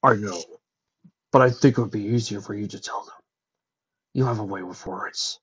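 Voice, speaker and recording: A gentle, lovesick dragon from a storybook, played by a man. The delivery is subdued and earnest, carrying the sadness of an accursed creature. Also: lowish voice, moderate pace, slightly rough, lo-fi sound, pitched below the performer's own voice. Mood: resigned sadness.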